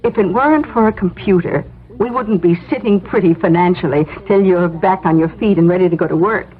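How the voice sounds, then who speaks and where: An actress playing a practical, affectionate, reassuring wife in a 1970s radio drama. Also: female voice, on the low side, a little fast, natural voice. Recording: tinny 1970s radio broadcast, faint noise.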